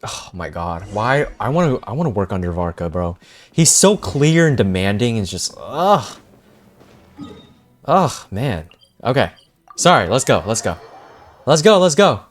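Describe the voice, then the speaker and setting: A man's voice: on the low side, very animated, a little slow, long pauses. A young man giving casual, slangy live commentary as he reacts to a video game. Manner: informal, slangy. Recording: game music faint behind.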